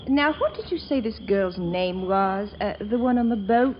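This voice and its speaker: An actress playing a sly, vengeful young con artist who puts on arch English poise, in 1940s radio comedy style. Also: female voice, medium pitch, animated, normal speed, close to an everyday voice. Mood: affected charm. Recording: muffled, noisy 1940s radio recording.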